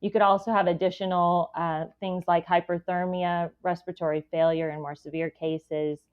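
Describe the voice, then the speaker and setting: A woman's voice: fairly low pitch, level tone, moderate pace, clear, resonant tone. A woman speaking as a guest medical expert, giving a clinical explanation over a slightly lo-fi connection.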